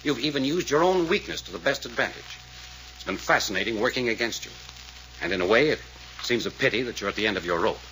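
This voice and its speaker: Commanding radio detective; bright, lively, measured delivery; vintage serial. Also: male voice, on the low side, slight background noise, low-quality recording. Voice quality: measured, authoritative. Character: calm, commanding adult male detective. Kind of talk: 1940s radio acting.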